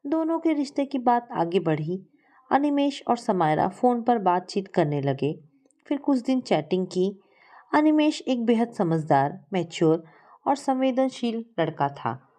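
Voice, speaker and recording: A woman's voice: on the high side, very animated, unhurried, dark tone. A woman narrating a scripted story as a storyteller.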